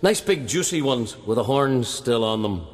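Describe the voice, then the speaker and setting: A man's voice: mid-range voice, lively intonation, moderate pace, in a large hall, natural voice. A man voicing a bossy wife who sends her husband off on errands, speaking firmly. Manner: firm, giving orders.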